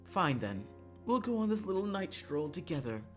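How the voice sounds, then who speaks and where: A man's voice: a little high, sing-song, normal speed, faint music behind, pitched above the performer's own voice. A man voicing an exasperated female witch guardian in a visual novel, scolding and teasing in a grudging way. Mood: grudging, explaining. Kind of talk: visual novel read-aloud.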